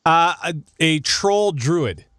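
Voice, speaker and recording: A man's voice: fairly high pitch, lively intonation, slow, a bit bright, clean tone, no room sound, clean studio sound. A man hosting a gaming podcast in a casual, joking, self-deprecating way. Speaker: podcast host.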